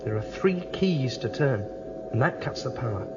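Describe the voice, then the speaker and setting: An actor playing a determined rebel, explaining methodically and earnestly in a radio drama. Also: male voice, mid-range voice, big pitch swings, normal speed, natural voice. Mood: intent, explaining. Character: determined male rebel planning to shut down a ruling computer. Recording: dull lo-fi recording, clear background noise.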